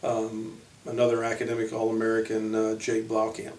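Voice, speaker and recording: A man who coaches college basketball, giving informal player profiles in a halting way, pausing mid-sentence. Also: lowish voice, monotone, darkish tone, slight background noise. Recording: roomy echo, distant microphone.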